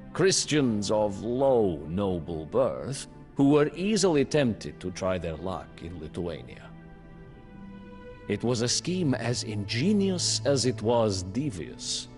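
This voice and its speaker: A man giving scripted storybook narration for a game campaign, grave and measured with dramatic emphasis. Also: mid-range voice. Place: studio voice-over. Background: faint music score.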